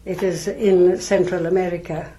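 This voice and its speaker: An older woman from South Wales speaks, her voice sitting low and mellow. She answers in a measured, reflective way, pausing often to gather her thoughts. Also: flat delivery, slight background noise. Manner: measured, thoughtful, with frequent pauses and ums. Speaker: nun, interview guest.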